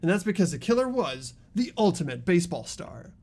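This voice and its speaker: A man voicing an earnest high school boy in a mystery game, explaining a case in a deliberate, dramatic way. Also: big pitch swings, darkish tone, exaggerated voice. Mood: grave, determined. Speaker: amateur voice actor in a game playthrough.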